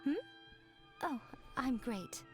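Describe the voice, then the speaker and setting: A woman's voice: a little high, slow pace. A woman playing an elegant, teasing fox spirit priestess in an anime game, with a composed, coy manner. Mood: wistful. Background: game music.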